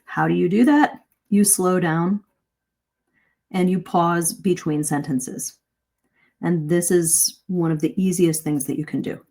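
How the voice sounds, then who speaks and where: A woman's voice: lowish voice, a little slow, vocal fry, pauses often, darkish tone. A middle-aged woman teaching a live online English lesson in a conversational, encouraging way, explaining and stressing her key points. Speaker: English communication coach, host. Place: live video stream.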